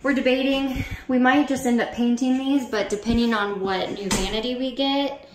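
A woman's voice: on the high side, steady tone, moderate pace, noisy background. An American woman in her twenties giving a chatty, informal walking tour for a vlog, thinking aloud as she talks in empty indoor rooms. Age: 20s. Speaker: vlogger giving a house tour. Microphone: handheld camera or phone at arm's length.

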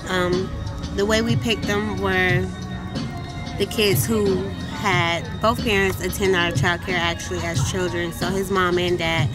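A woman's voice: lowish voice, flat delivery, a little slow. A woman in her thirties speaking casually in an interview as a childcare assistant director. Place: outdoors by a mural. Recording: music bed behind the voice.

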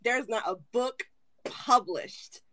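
A woman whose first language is Spanish, telling her story as an author on a casual video call with lively candor. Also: a little high, slow, slight background noise, poor audio. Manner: animated, candid storytelling. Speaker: author guest. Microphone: video call webcam.

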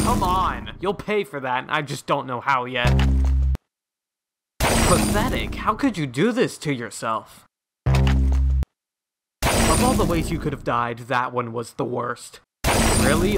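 A man's voice: a little high, sing-song, slow pace, long pauses, loud music behind the voice. A man giving scripted voice-pack commentary as a game announcer. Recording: loud game noise, clicks and shattering. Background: game sound effects.

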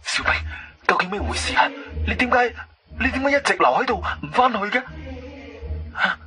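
A man's voice: sits high, sing-song, moderate pace, pushed and bright, soft background music, heavily acted. An actor playing a bewildered, anxious man who stammers and restarts, in a Cantonese radio drama. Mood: bewildered, urgent. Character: bewildered, anxious man searching for his companion.

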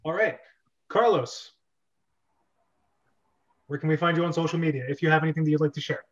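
Man hosting, slightly high voice, dull sound. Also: a little fast. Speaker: podcast host. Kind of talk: casual group video-call chat. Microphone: video call. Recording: dull lo-fi video call.

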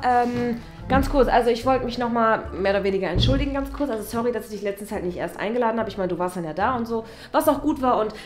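A young woman playing a casual, slightly awkward flatmate who talks in a chatty, hedging way. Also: a little high, natural voice. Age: young adult. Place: indoor flat.

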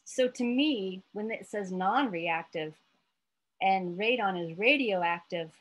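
An American woman in her late forties giving an informal, halting lesson with storytelling, thinking aloud as she explains. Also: medium pitch. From United States, Iowa. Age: late 40s. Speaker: therapist presenting an educational video letter.

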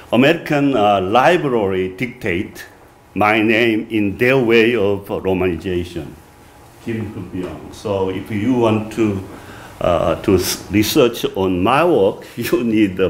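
A middle-aged man with a strong Korean accent giving an academic lecture at a podium, reading from a manuscript with many halting "uh" fillers. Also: on the low side. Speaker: visiting lecturer. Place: wood-paneled reception room, indoors.

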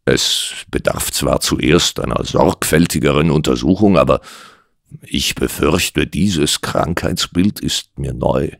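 A man's voice: very deep voice, slow pace, gravelly, dry recording, full character voice. A man voicing a sceptical doctor, a detective's companion in an audiobook, with a dry, mocking manner. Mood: dry sarcasm.

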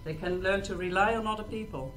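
A woman's voice: medium pitch, fast, fairly bright tone. A middle-aged woman hosting an event, talking informally to an audience and putting questions to them. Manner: rhetorical questions to the listeners. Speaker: event host. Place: small stage in a presentation room. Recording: distant mic, clearly noisy room.

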